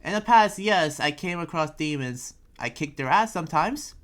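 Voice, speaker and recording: A man's voice: fairly high pitch, expressive, moderate pace, fairly bright tone. Man playing a rambling, earnest young town preacher. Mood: explaining, conversational. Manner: rambling, explanatory, hesitant phrasing. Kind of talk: improvised anime Minecraft roleplay. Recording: background music behind the voice.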